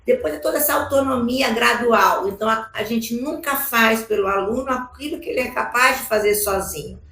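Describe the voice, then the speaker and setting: A woman's voice: a little high, brisk, sharp tone. Brazilian woman giving an echoey conference talk. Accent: Brazilian Portuguese. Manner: explanatory, frequent tag questions. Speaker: education speaker. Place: large conference hall.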